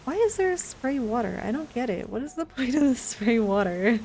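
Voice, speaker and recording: A woman's voice: fairly high pitch, sing-song, brisk, darkish tone. A woman chatting casually with her viewers while livestreaming a video game, in a chatty, giggly manner.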